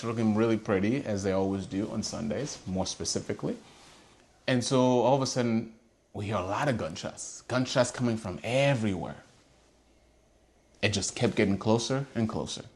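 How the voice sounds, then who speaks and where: A man from Haiti, his voice sitting on the lower side, delivers a heartfelt monologue straight to his audience. He is emphatic and earnest, pressing his points with pointed rhetorical questions. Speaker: host. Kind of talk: earnest personal monologue to camera.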